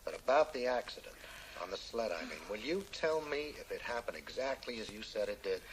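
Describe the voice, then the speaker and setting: A man's voice: on the low side, sing-song, fairly quick. An actor in a radio drama playing a polite but persistent male investigator, probing and apologetic yet insistent. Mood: urgent, earnest. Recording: noisy 1980s radio drama broadcast.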